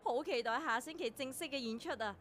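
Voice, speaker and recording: A woman's voice: high voice, fairly quick, a bit bright, slight background noise. An actress playing a polished, condescending female music director in a radio drama. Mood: suave, appraising. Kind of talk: Cantonese radio drama acting.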